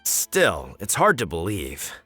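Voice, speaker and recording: A man voicing an earnest, good-natured villager in a video game, with an expressive delivery. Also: sits low, slow pace, husky, faint music behind, exaggerated voice. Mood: exasperated, puzzled. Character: weary but good-natured villager turned contest judge.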